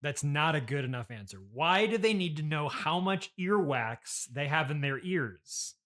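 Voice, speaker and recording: Skeptical male interviewer with a bright voice. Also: a little high, expressive, unhurried. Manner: dry, skeptical questioning. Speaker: podcast interviewer. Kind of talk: casual improvised comedy interview.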